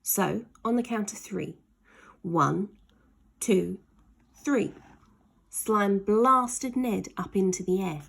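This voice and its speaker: A woman's voice: medium pitch, takes it slowly, vocal fry, pauses often, fairly bright tone, breathy edge. A woman reading a children's story aloud expressively, stretching key words for dramatic emphasis.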